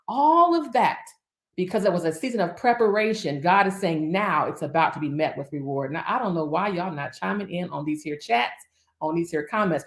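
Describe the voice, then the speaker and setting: A woman in her fifties, a minister, preaching a decree to online viewers in rhythmic repeated lists with chatty asides. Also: lowish voice. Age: 50s. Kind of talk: livestream prophetic decree. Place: office. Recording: echoey room.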